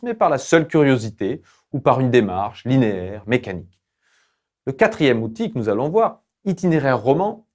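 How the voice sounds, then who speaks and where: A male lecturer with a mid-pitched voice narrates a scripted online lesson. His phrasing is formal and didactic. He moves through the material in a measured, explanatory way. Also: darkish tone.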